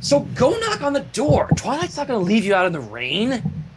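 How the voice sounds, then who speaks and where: A man's voice: very high-pitched, wildly swooping pitch, normal speed, voice pushed up, heavily acted. A man voicing a brash, tomboyish female pegasus pony who scolds youngsters, on a noisy home recording. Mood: scolding.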